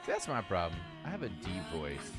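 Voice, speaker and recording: A man co-hosting a live stream who answers in a dry, low-key, joking way, though his pitch moves a lot. Loud music plays behind him throughout. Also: wildly swooping pitch, brisk. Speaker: co-host. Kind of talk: casual live-stream chat.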